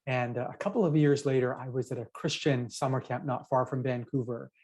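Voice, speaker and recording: A middle-aged man from Japan, a pastor and author, answers interview questions. He tells his story in a measured, reflective way. His voice sits at an ordinary middle pitch. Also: fairly even intonation, darkish tone, breathy edge.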